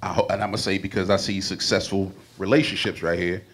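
Deep-voiced man listing things earnestly. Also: level tone, quick pace, a bit bright. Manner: earnest, builds long lists. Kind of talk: casual panel interview. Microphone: handheld mic.

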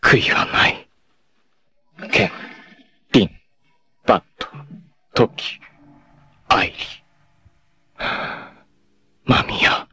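An actor playing a proud, doomed martial-arts warrior in an anime, tender and self-sacrificing, with solemn, theatrical delivery. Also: male voice, mid-range voice, big pitch swings, very slow, creaky, long pauses, sharp tone, slightly rough, full character voice. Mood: serene, resigned. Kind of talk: dramatic anime acting, monologue and narration.

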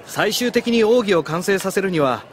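A man's voice: sits high, expressive, normal speed. An actor playing a friendly, considerate young swordsman with a casual, apologetic manner in an anime audio drama. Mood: apologetic, explaining.

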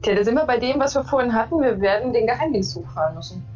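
A woman's voice: on the high side, expressive, fast, darkish tone, muffled recording, faint music behind. A woman in her thirties from Saxony, a political scientist co-hosting a livestream and explaining her views thoughtfully. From Saxony, Germany. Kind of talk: casual livestream chat. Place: home room.

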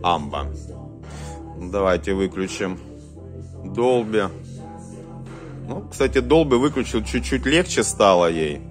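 Male demo host, off-the-cuff and halting, very varied intonation, faint music behind. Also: lowish voice, lively intonation. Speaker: host of a cassette deck test vlog. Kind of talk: casual hands-on demonstration commentary.

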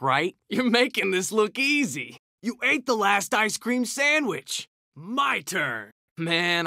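A man's voice: sits high, creaky, sharp tone, no room sound, clean studio sound, full character voice. A man voicing a cocky, hot-tempered young mutant ninja turtle, trading brash, slangy taunts in a fighting video game. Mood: brash, combative. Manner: brash, slangy trash talk.

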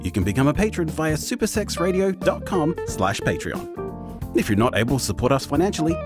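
Animated male credits announcer over music. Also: a little high, a little fast. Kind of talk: scripted credits narration. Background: guitar music.

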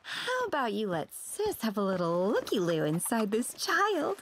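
A woman's voice: fairly high pitch, huge pitch swings, a little slow, slight background noise, heavily acted. An actress voicing a playful, doting woman mechanic obsessed with machines in an anime-style game, with a teasing, cooing, big-sisterly manner.

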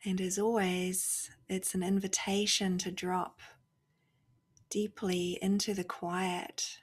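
A woman leading a guided spiritual meditation, speaking softly and reflectively in short phrases with long pauses, often fading almost to a whisper. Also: on the low side, little pitch movement, slightly rough.

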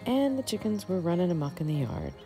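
A middle-aged woman giving a gentle, reflective scripted voice-over in a storytelling style. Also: lowish voice, wildly swooping pitch, a little fast, music in the background. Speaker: vlog narrator.

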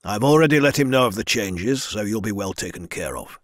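A man voicing a stern, powerful lord who speaks in a measured, formal way. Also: on the low side, lively intonation, no room sound, clean studio sound, lightly acted.

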